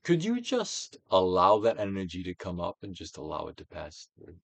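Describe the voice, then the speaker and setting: A man's voice: deep voice, wildly swooping pitch, creaky, no room sound. Theatrical man reading with dramatic, sweeping intonation. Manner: theatrical, deliberate delivery with swelling and falling emphasis. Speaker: narrator, audiobook reader. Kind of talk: dramatic reading of a self-help book, guided exercise.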